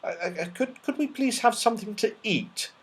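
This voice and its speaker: A man's voice: sits high, expressive, moderate pace, breathy edge, voice pushed up. A man voicing a plucky, polite young boy in a children's storybook, exclaiming and questioning. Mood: timid.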